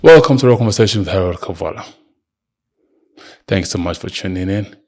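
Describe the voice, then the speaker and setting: A man's voice: low voice, animated, fairly quick, a little mellow. A man in his early thirties hosting a solo podcast, lecturing emphatically and speaking straight to the listener. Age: early 30s. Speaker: podcast host. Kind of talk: solo motivational monologue.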